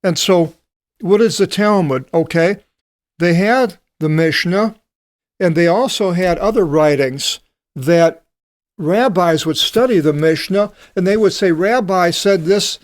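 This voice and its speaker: A man teaching a Bible study, reading passages aloud and commenting on them in a deliberate way with frequent pauses. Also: high voice, no echo, clean studio sound.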